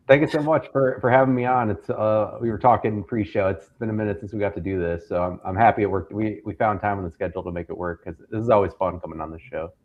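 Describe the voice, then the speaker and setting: Brief: low-voiced guest man, rapid talk, thin phone-line audio. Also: steady tone. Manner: friendly, quick, slight restarts. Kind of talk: casual interview chat. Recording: tinny phone-like call audio.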